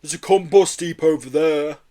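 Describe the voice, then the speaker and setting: A man voicing a big, brash, good-humoured female Yeti in a storybook, with a loud, slow drawl. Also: fairly high pitch, natural voice. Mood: matter-of-fact.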